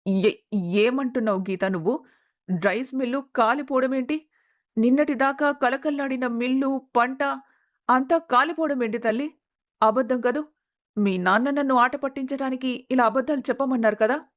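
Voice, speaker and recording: High-voiced, anxious mother acted by a woman. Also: normal speed, natural voice. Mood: shocked, disbelieving. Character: anxious, tearful middle-aged mother and wife. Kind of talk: melodramatic story acting.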